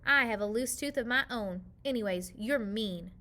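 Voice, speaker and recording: A cross little girl grumbles through a storybook in a woman reader's voice. Her tone is bright and high, with a whiny, indignant edge to every complaint. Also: normal speed. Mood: complaining. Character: young girl, sulky and complaining.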